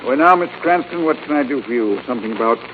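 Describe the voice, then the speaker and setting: A man's voice: medium pitch, expressive, quick pace, lightly acted. An actor playing a fussy, genial insurance man in a 1940s radio drama, courteous and a little hesitant. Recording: muffled, noisy 1940s radio broadcast.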